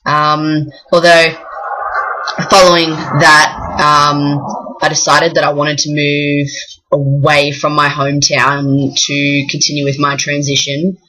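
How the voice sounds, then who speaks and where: A young Australian man from the south coast of New South Wales, talking frankly and in a rambling way in a personal vlog, with many pauses. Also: a little high, completely flat delivery, bright, forceful tone, slight background noise, poor audio. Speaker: vlogger. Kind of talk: casual personal vlog talk.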